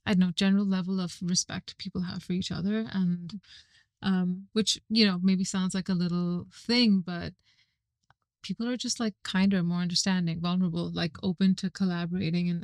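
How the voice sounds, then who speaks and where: A woman from Dubai joins the talk as a guest. Her voice sits low and stays flat in pitch. The sound is close and dry, and she keeps pausing and restarting as she thinks. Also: dry recording. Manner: thoughtful, filler-heavy, many restarts. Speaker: agency team member, guest. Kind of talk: casual panel conversation.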